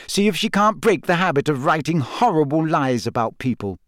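A man's voice: fairly high pitch, sing-song, brisk, fairly bright tone, dry recording, clean studio sound, voice pushed up. A man voicing an earnest, self-assured teenage schoolgirl in an audiobook. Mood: serene, satisfied. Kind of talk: audiobook character acting.

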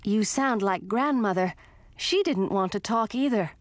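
Woman playing a bold, earnest young princess, lively pitch. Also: measured pace, no room sound, close to an everyday voice. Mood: probing.

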